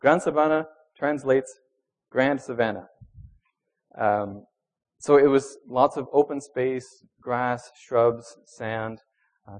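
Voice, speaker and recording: Young male pastor raised in Venezuela, conversational sermon delivery, casual fillers. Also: mid-range voice. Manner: conversational preaching with fillers, reads scripture with rising emphasis.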